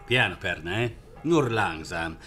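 An actor playing a cautious, proud Venetian printer in a radio drama, haggling good-humouredly with small interjections. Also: male voice, low-pitched, lively intonation, takes it slowly, bright, forceful tone, soft background music, heavily acted. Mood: cautious bargaining. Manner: hesitant bargaining with "eh" tags and Italian interjections.

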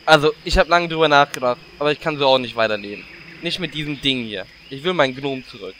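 A man's voice: medium pitch, expressive, fast talker, sharp tone, a little hiss or hum. A man playing a cheeky, blunt orc warlock who taunts and whines. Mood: dismayed. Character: brash, taunting orc warlock, formerly a gnome.

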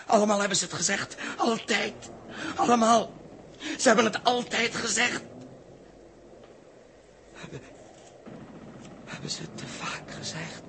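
An actor playing an anguished, injured spaceman in a 1960s radio drama, halting and pleading as he repeats his words. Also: high-pitched, bright, forceful tone, heavily acted. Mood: agitated pleading. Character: anguished injured young spaceman, guilt-ridden and desperate. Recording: noisy vintage 1960s radio recording.

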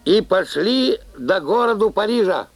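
A man narrating a folk tale as an animated storyteller in an audio play. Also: high-pitched, unhurried, vocal fry, over music, heavily acted.

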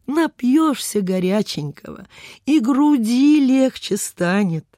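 A woman's voice: a little high, warm tone, dry recording, studio quality. An actress playing a kindly, anxious provincial landlady in a radio play, sighing and fretful, with old-fashioned speech. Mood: soothing. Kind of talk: Soviet radio play acting.

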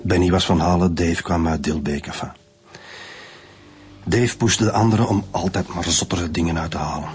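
A man's voice: sits low, moderate pace, husky. An actor with a Flemish accent playing an articulate, bitter male lecturer confessing to murder in a radio drama. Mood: bitter confession. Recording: music behind the voice.

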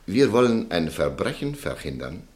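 A very deep, smooth extortioner. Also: male voice, sing-song. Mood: coaxing. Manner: soft-spoken, coaxing, measured. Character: smooth, calm male extortioner named Walter. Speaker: radio drama character. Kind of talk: radio play acting.

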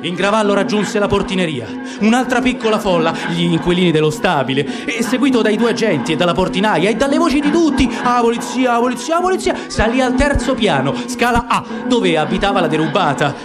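A man's voice: sits high, animated, a little fast, few pauses, over music. A man giving a theatrical, scripted literary narration for radio theatre. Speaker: actor narrating a literary reading.

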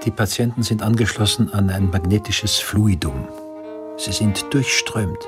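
An actor playing a fervent, pompous eighteenth-century doctor in a German radio drama, lecturing in a grandiose manner. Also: male voice, fairly low pitch, measured pace, lightly acted. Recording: music behind the voice. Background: instrumental music.

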